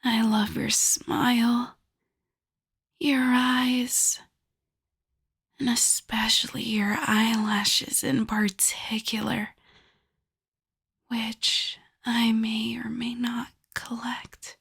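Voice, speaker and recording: A woman voicing a possessive, doting yandere wolf girl in an intimate ASMR roleplay, soft and often whispered, sweet with a menacing edge. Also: a little high, little pitch movement, creaky, slightly rough, exaggerated voice. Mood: adoring, cooing. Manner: slow, cooing, lingering over endearments.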